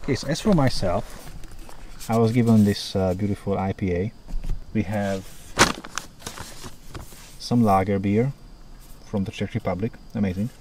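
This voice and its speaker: Low-voiced man hesitantly vlogging in woods. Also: moderate pace, pauses often, background noise. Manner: hesitant, many filler uhs. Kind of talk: casual outdoor vlog. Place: outdoors in woods.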